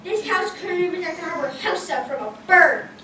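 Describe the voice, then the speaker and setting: A woman's voice: extremely high pitch, lively intonation, normal speed. A woman playing a stern but fair sea captain who grades schoolwork in a community puppet show. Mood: critical. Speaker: puppeteer performer. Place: large echoey hall. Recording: echoey hall, distant mic, noisy audience.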